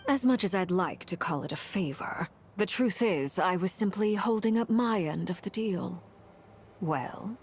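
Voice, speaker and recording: A woman playing a cool, commanding noblewoman in a video game, composed and measured, with a dry, understated manner. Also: mid-range voice, expressive, a little mellow, a little hiss or hum. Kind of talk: dramatic video game voice acting.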